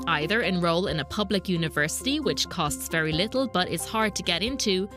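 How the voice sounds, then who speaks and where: Female news narrator, measured scripted read, music bed underneath. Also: lowish voice, expressive. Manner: measured, explanatory. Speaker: reporter and narrator.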